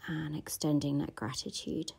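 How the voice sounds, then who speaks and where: Woman meditation guide: dark, gravelly voice, soft and half-whispered, unhurried. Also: deep voice, level tone. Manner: slow, soft-spoken, often half-whispered.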